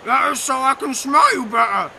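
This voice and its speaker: Man putting on a loud, high big bad wolf. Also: expressive, moderate pace, gravelly, heavily acted. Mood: menacing, eager. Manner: loud, emphatic replies. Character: hungry sly big bad wolf, fairytale villain. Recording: noisy outdoor amateur live recording.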